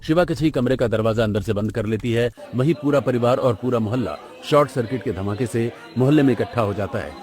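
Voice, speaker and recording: A man's voice: medium pitch, a little fast, hardly any pauses, a little mellow. A man reading scripted narration for a cartoon story as its narrator.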